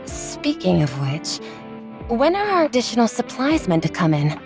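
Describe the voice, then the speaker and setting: A woman playing a calm, easygoing young squadmate in a video game, with a gentle, reassuring manner. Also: on the high side, normal speed, over music. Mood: polite, practical. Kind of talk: voiced game story dialogue.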